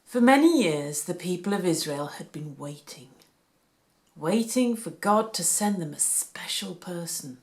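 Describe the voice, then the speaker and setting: A woman reading a Bible story aloud for children, with a deliberate, animated storytelling delivery. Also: lowish voice.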